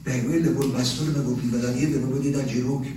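An actor playing an anguished, simple Italian peasant man, telling his memories in a halting, urgent stage monologue. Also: medium pitch, fairly even intonation, close to an everyday voice. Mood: tender, halting. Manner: halting, repetitive, urgent storytelling. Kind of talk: theatrical monologue. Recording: echoey room, distant mic, faint noise.

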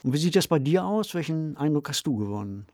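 A man co-hosting a podcast, speaking in a measured, deliberate way as he reflects on radio drama. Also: on the high side, sing-song, darkish tone, dry recording, clean studio sound. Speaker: podcast co-host. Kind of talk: reflective discussion of a radio play.

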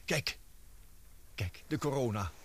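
A man's voice: mid-range voice, expressive, takes it slowly, a bit bright, breathy edge, full character voice. An actor playing a well-informed, thoughtful man in a radio play, with an explanatory way of talking. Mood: musing, awed.

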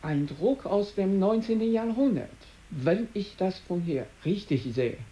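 An actor playing a polite, unruffled antiquarian bookseller in a radio drama, courteous and understated. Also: male voice, high voice, animated, unhurried, warm tone, highs cut off. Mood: polite, composed. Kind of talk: German radio drama acting.

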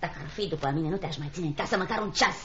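A woman's voice: fairly low pitch, very noisy, natural voice. A woman playing a spiteful schoolmaster's wife in a Romanian radio drama, scolding and contemptuous. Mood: scornful scolding. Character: spiteful, shrewish schoolmaster's wife, scornful and harsh. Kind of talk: Romanian radio theatre acting. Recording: dull, echoey, busy radio drama recording.

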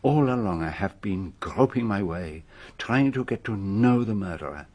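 Formal Belgian sleuth, French accent, lowish husky voice, precise, probing, deliberate, radio play. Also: male voice, animated, darkish tone. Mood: pensive. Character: shrewd, formal, probing Belgian male detective. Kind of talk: radio drama acting.

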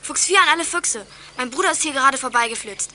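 Teenage girl, spirited, earnest, high voice, rapid delivery, radio play, faint noise. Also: animated, sharp tone, heavily acted. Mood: urgent, reporting. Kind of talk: 1980s German youth radio play acting.

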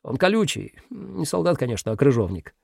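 A man's voice: medium pitch, very animated, brisk, gravelly, darkish tone, husky, dry recording, studio quality, exaggerated voice. A man voicing a sly, whiny little minus sign, a wheedling troublemaker in a children's story. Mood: sheepish. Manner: wheedling, pleading, evasive.

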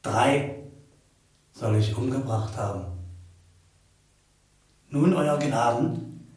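A mocking prisoner murderer, super slow talker, radio play, distant and drenched in echo. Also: male voice, medium pitch, lively intonation, a little mellow. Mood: sardonic. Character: sardonic male prisoner, a confessed axe killer. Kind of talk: theatrical radio play acting. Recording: echoey room, far from mic.

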